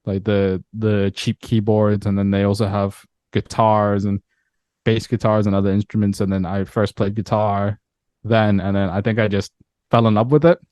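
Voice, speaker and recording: A man from Myanmar in his thirties with a Scottish accent, talking through his life story as an interview guest, relaxed and reflective with many repeated words. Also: lowish voice, moderate pace, dry recording, clean studio sound. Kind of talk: casual interview chat.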